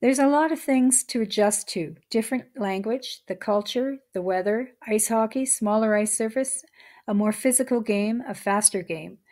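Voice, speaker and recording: A woman, the author, reading her nonfiction book aloud at a steady, measured pace. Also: a little high.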